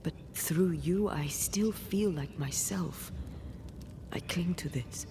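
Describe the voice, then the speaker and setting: A devoted ghost woman speaks slowly and solemnly in a hushed voice with a creaky edge. She is detached from the living, in a dramatic game scene with music underneath. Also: fairly low pitch. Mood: haunted, intimate. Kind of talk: dramatic video game dialogue.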